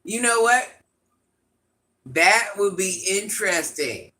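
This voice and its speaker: A man with an itchy, scratchy throat, hosting a livestream in a grandmother persona and chatting through viewer comments with quick laughs and asides. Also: high voice, expressive, bright, forceful tone. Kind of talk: casual live chat answering viewer comments.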